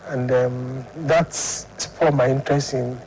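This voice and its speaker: A middle-aged man from Lagos with a Nigerian accent, answering interview questions in a halting way and repeating himself. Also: mid-range voice, steady tone, darkish tone, slight background noise, low-quality recording. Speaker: studio guest, sports psychologist and academic.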